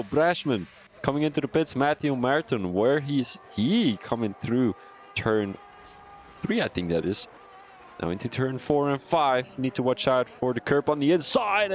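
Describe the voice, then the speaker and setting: Excitable young Belgian man calling races. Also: a little high, moderate pace, a little hiss or hum. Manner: excitable, laughs and rambles between calls. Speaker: sim racing commentator. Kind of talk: live stream race commentary.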